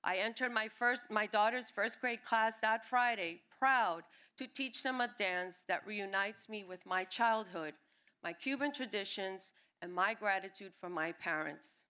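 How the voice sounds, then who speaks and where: Woman reading her own story live, clear bright tone at a middle pitch, expressive phrasing. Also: measured pace. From born in Cuba, raised in New York City. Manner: expressive reading, briefly acting out quoted family members. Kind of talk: reading a personal essay at a live storytelling event. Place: live reading at a music venue.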